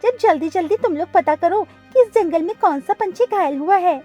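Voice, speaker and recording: A woman's voice: very high voice, moderate pace, dark tone, soft background music, pitched above the performer's own voice. A woman voicing a determined, bossy female butterfly in a cartoon story, insistent and scolding. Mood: urgent.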